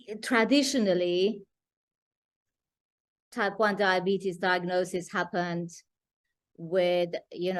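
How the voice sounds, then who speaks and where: A woman hosting a casual interview, speaking in a measured way and slowing down on key phrases. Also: lowish voice. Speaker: host.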